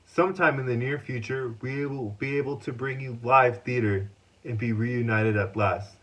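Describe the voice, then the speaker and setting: A male presenter reads a formal scripted welcome in a medium-pitched voice. He sounds earnest and deliberate, as if introducing a showcase. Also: fairly bright tone, rough recording.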